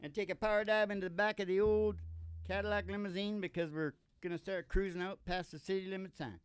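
A man's voice: high-pitched, steady tone, fast talker, clean tone. A man in his sixties hosting with playful, theatrical, rambling folksy comic radio patter into a stage microphone. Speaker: host and country singer. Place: small curtained stage, indoors. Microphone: stand microphone. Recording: live stage, acoustic guitar behind. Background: acoustic guitar.

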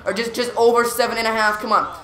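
Woman gaming host; jumpy live reactions, repeats words when excited, echoey room. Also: on the high side, fast. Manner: jumpy, reactive, lots of "come on" and "here we go". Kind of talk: excited live gameplay commentary. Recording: roomy echo.

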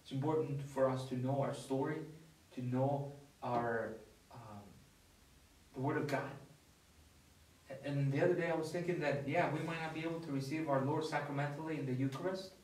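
A young man, a priest, talking casually about scripture at a table, with many restarts and an animated way of telling stories. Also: mid-range voice, normal speed, long pauses. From not from the US. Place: dining room at a table with others. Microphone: far camera. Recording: echoey room from far off.

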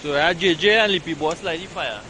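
A young man with a Trinidadian accent, casually commentating a fishing trip outdoors in an excitable, teasing, encouraging way. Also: a little high, brisk, background noise. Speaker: fishing vlogger. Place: rocky riverside outdoors. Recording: loud music over outdoor audio.

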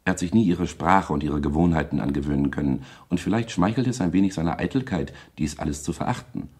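An actor playing a sober, detached male observer, speaking in a measured way in a scripted radio play. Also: extremely low pitch, darkish tone, husky.

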